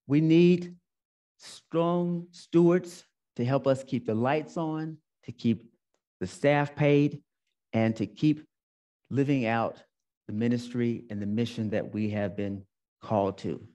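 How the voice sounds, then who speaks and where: A man's voice: mid-range voice, takes it slowly, mellow, highs cut off. A male minister giving a sermon, telling a personal story and repeating phrases for emphasis. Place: church sanctuary.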